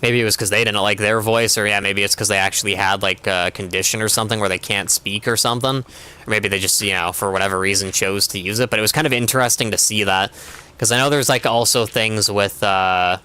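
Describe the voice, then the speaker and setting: A man's voice: on the low side, steady tone, quick pace, bright, forceful tone, clear, resonant tone. A young man streaming a game, chatting casually in a rambling way with constant filler words. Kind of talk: casual chat while gaming.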